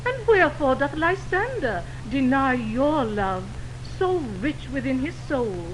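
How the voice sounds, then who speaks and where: A woman's voice: high-pitched, big pitch swings, a little slow. A woman playing a wounded, indignant young woman in love, in theatrical, declamatory 1920s radio Shakespeare style. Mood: hurt, indignant. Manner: theatrical, declamatory verse delivery. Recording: noisy 1929 radio broadcast on optical film.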